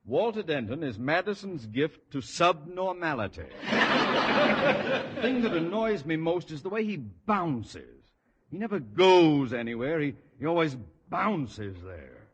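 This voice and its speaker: A man's voice: a little high, sing-song, a little slow, highs cut off, very noisy. A man playing a pompous, blustering middle-aged school principal in a 1950s radio sitcom, firing off haughty insults.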